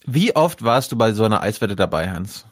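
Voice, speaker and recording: A man's voice: on the low side, very animated, a little fast, breathy edge, no room sound, clean studio sound. A man from Berlin co-hosting a casual chat, earnest and sometimes searching for words. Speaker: podcast co-host.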